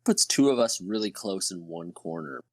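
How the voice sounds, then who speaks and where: A man's voice: deep voice, expressive, normal speed, no room sound, studio quality. A man speaking casually as a player in a tabletop game, checking details.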